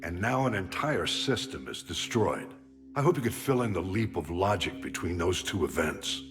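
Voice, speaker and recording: An actor playing a stern but loyal older military admiral in a science fiction game, speaking with measured, grave authority. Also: male voice, low voice, expressive. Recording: music under the dialogue.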